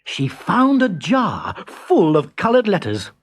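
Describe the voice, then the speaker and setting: A man narrating a children's story in an expressive, dramatic storytelling style. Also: sits high, takes it slowly.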